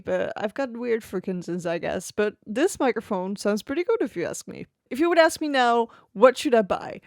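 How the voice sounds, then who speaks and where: A woman's voice: mid-range voice, no echo. A woman streamer talking casually and easygoing about her gear, laughing often, close to a studio microphone at her home desk. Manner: chatty and easygoing, with frequent laughs. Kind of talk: casual tech review talk. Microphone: close XLR studio microphone on an arm.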